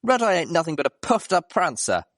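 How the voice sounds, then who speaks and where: A man's voice: a little high, sing-song, fast, a bit bright, no echo, clean studio sound, pitched above the performer's own voice. A man voicing a brash, scornful female pony in a tavern, with folksy, salty talk. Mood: amused scorn.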